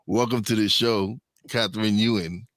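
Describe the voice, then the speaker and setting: Deep-voiced New man. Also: steady tone, moderate pace, a bit bright, no room sound. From New York, USA. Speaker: podcast host. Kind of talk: casual chat interview.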